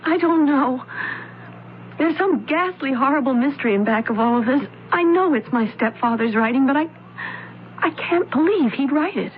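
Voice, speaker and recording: An actress playing an earnest, anxious young opera student in an old-time radio mystery, with careful, dramatic diction. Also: female voice, high-pitched, lively intonation, normal speed. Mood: distressed, suspicious. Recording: narrow, tinny old radio recording, hiss.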